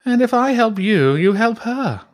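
A man's voice: very high voice, sing-song, a little slow, pitched above the performer's own voice, full character voice. A man voicing a haughty, eccentric female badger priestess in a children's audiobook, theatrical and exclamatory in manner. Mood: indignant, wary.

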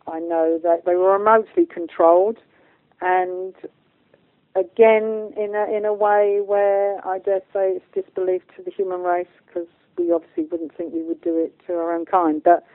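A woman's voice: fairly low pitch, dry recording. A British woman talking as a guest medium in a radio interview, stating things bluntly and with emphasis. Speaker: guest, spiritual medium and channeller. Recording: muffled phone-like line.

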